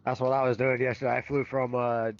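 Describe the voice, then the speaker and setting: A man raised in Florida chats with friends, carrying a slight Southern drawl. His voice sits in a middle pitch, relaxed and chatty. Steady noise hums under the voice chat. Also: fast, dull audio. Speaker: flight sim pilot in group stream. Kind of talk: casual chat.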